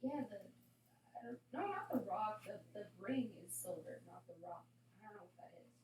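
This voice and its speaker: A woman's voice: medium pitch, animated, moderate pace, a little mellow. A young woman, a student chatting casually in a classroom with youthful, like-peppered phrasing. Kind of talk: casual chat. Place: classroom, far from the mic. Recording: distant, echoey classroom with faint noise.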